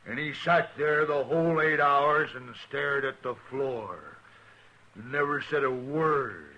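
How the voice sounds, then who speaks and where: A man's voice: mid-range voice, slow, sharp tone, dull audio, slight background noise. A man playing a huge, boastful red-haired brawler in a 1950s radio drama, with a taunting frontier drawl. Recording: old radio broadcast.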